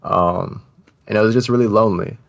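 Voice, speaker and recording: Low, gravelly young American man. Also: a little fast, no room sound. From United States. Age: young adult. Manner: informal, slangy, thoughtful. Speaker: interview guest, brand founder. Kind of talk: casual reflective interview talk. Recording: slightly dull, dry audio.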